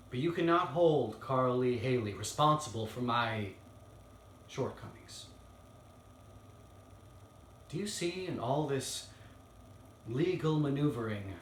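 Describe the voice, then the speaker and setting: A man playing an earnest young defense lawyer in a dramatic courtroom monologue, solemn and halting with weighty pauses. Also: medium pitch, fairly bright tone. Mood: earnest, impassioned. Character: earnest young male Southern defense lawyer pleading to jury. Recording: roomy, distant mic, faint noise.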